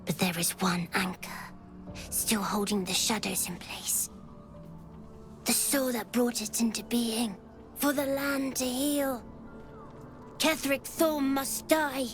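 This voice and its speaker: A wistful, lonely spirit child, speaking in a high voice with faint music behind. The delivery is solemn and stubborn, as scripted fantasy game dialogue. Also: female voice, slow, long pauses. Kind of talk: video game character dialogue.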